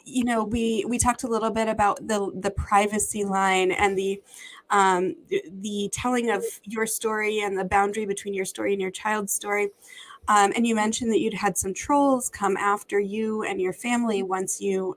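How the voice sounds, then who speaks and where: A woman hosting a podcast, moderating a panel in a gentle, measured way with hesitant fillers. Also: medium pitch, low-quality recording. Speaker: podcast host.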